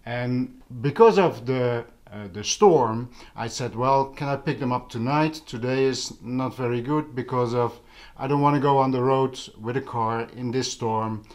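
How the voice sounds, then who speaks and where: A man chatting casually to a vlog audience, halting and thinking aloud as he goes. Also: mid-range voice. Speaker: vlog host. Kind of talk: casual vlog chat about hobby audio gear.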